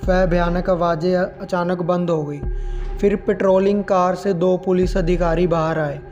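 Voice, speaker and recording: A man's voice: high voice, steady tone, a little slow, darkish tone, lo-fi sound, soft background music. A man narrating scripted horror stories as the host of a storytelling channel.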